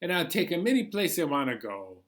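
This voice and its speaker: Man voicing a storybook taxi driver, chatty, bemused, incredulous, bright, swooping pitch. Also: fairly quick. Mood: chatty, world-weary. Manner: colloquial, animated, incredulous. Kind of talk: first-person picture book read-aloud.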